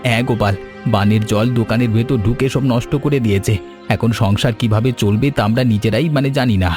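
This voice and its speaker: A man voicing a worried village meat seller in an animated story, grave and complaining. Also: on the low side, fast, over music, natural voice. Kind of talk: animated moral story dubbing.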